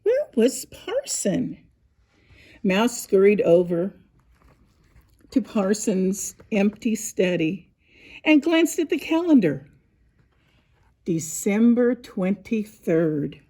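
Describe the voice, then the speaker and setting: A woman narrating a scripted children's story, with expressive, deliberate phrasing and dramatic pauses. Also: medium pitch. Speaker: storybook reader.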